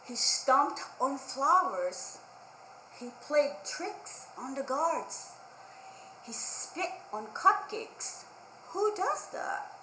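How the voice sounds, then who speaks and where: A woman's voice: sits high, big pitch swings, extremely slow, pushed and bright, roomy sound, a little hiss or hum, low-quality recording. A woman hosting a children's storytime, reading a picture book aloud expressively and emphatically, with simple asides to young listeners. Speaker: children's librarian storytime host.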